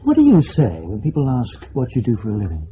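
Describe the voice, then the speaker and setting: An actor playing a curious, easygoing intelligence colleague in a radio drama, light and understated in manner. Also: male voice, mid-range voice, wildly swooping pitch, fast talker, dark tone. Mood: curious, relaxed. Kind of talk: radio spy drama acting. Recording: dull, hissy radio drama recording.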